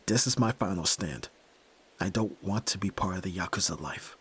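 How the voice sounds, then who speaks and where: A man playing the sensitive, earnest young son of a crime boss in an ASMR roleplay, emotional and often hushed. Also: sits low, expressive, normal speed. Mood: resolute, sorrowful. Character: Yakuza boss's young son, sensitive, earnest, resolute nerd. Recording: clear background noise and ambience.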